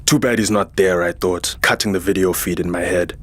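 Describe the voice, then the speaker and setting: A man's voice: deep voice, fairly even intonation, fairly quick, a bit bright. A man playing a jaded, vain warrior and security chief, narrating a science fiction audio drama with sardonic weariness. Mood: disdainful. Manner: sardonic, world-weary. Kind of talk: first-person scripted sci-fi narration.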